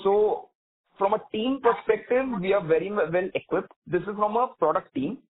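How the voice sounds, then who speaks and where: A man's voice: sits high, moderate pace. A man with an Indian accent speaking as a company executive on an earnings call, explaining and rephrasing his points as he answers. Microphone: telephone conference call. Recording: narrow tinny phone line.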